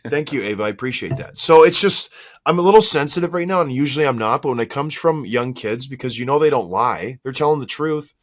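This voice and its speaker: A man in his forties hosting a hockey podcast, slightly hoarse, telling self-mocking stories in a casual, animated way. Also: mid-range voice, fast. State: a little hoarse. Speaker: podcast host.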